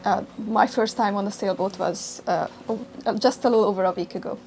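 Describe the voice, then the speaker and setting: A woman's voice: medium pitch, steady tone, brisk, background noise. Woman, hesitant. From Hungary. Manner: hesitant, with frequent fillers. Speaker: sailing trainee. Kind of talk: interview testimony.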